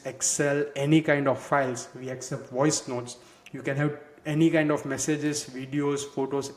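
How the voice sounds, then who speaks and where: A man presenting a software walkthrough in a conversational, explanatory way, leaning on filler words. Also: medium pitch. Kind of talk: walkthrough demo narration. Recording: echoey, roomy sound.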